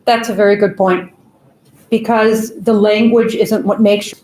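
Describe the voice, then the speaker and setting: A woman on a panel answering an audience question in a measured, explanatory way. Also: mid-range voice, steady tone, a little mellow, slight background noise. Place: large auditorium. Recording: echoey auditorium.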